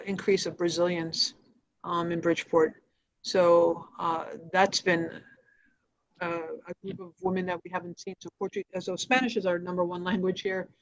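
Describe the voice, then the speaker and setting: A woman answering questions as a nonprofit executive, explaining programs in a halting, matter-of-fact, informal way. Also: lowish voice. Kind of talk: informal spoken presentation on a video call. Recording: dull, lo-fi livestream audio.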